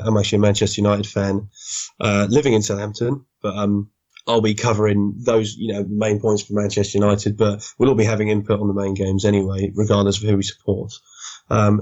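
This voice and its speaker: A man with a somewhat low voice, croaky and hoarse from a sore throat, chats easily as a podcast guest. His voice comes through a dull, lo-fi remote line. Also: a little mellow. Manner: easygoing, chatty, laughs. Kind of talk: casual interview chat.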